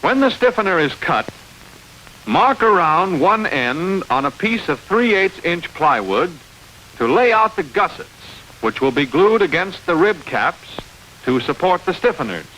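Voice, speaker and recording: A man reading scripted instructional narration for a training film, explaining step by step in a clear manner. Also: high-pitched, big pitch swings, measured pace, a bit bright, low-quality recording. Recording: hissy old training film soundtrack.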